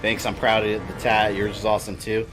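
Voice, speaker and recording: A man's voice: lowish voice, monotone, a little fast, over music. A man in his thirties chatting casually and rambling while he streams a video game. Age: 30s. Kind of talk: casual chat while gaming.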